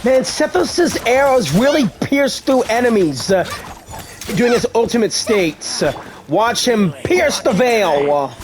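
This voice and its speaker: A gaming video host giving casual gameplay commentary, given to excitable exclamations. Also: medium pitch, measured pace, a crowd in the background.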